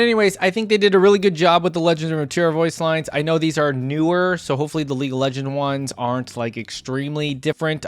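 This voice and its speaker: A man giving casual reaction commentary as a host, talking in an animated, conversational way. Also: medium pitch, no echo.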